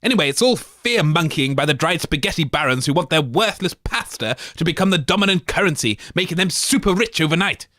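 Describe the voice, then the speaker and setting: A man's voice: fairly high pitch, lively intonation, fairly quick, few pauses, pushed and bright, no room sound, studio quality. A man co-hosting a comedy radio show, blunt and grumpy.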